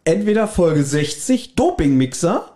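A man's voice: sits high, big pitch swings, a little slow, mellow. A man hosting a podcast in a casual, chatty, bantering style. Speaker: podcast host. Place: guest's apartment, indoors.

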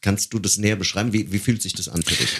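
A man's voice: on the low side, fast, a bit bright, breathy edge. A man hosting a casual interview, asking questions in a conversational way. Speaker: podcast host.

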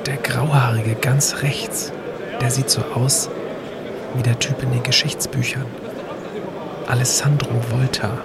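A man's voice: medium pitch, fairly even intonation, a little slow, vocal fry, pauses often, heavy background noise. A man speaking conversational, scripted audio drama dialogue as a time-travelling presenter.

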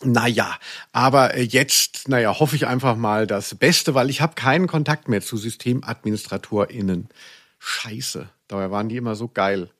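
A man's voice: medium pitch, moderate pace, fairly bright tone. A man in his forties hosting a podcast, chatting casually in a rambling, humorous and self-deprecating way. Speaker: podcast host. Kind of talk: casual humorous anecdotal chat.

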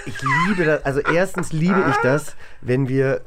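A host with a medium-pitched voice in relaxed, informal conversation. The delivery is enthusiastic and spontaneous. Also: normal speed, heavy background noise. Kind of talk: casual chat.

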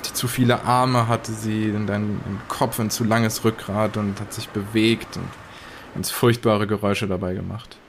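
A man playing a shaken survivor of an island horror, haltingly searching for words in an improvised audio drama. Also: on the low side, level tone, a little hiss or hum, natural voice. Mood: shaken, hesitant. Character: shaken male survivor of monsters on a storm-bound island.

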